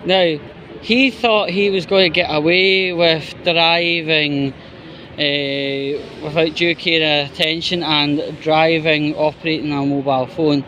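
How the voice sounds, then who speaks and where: A man's voice: a little high, steady tone, slow pace, pushed and bright, a little hiss or hum. A man giving an opinionated, exasperated, sweary commentary in a casual vlog style. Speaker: YouTuber.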